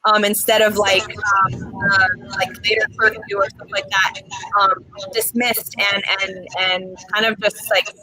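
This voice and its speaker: A woman in her twenties, born in Mexico and raised in the United States, speaking earnestly as a guest with many pauses. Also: mid-range voice, flat delivery, sharp tone, slight background noise, poor audio. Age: 20s. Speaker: guest poet. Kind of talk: casual interview chat.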